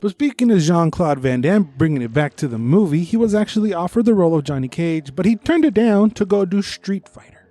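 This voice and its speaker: Higher-voiced man, casual podcast co-host. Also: expressive, fairly quick, hardly any pauses, mellow. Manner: opinionated, informal. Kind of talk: casual film review chat with trivia.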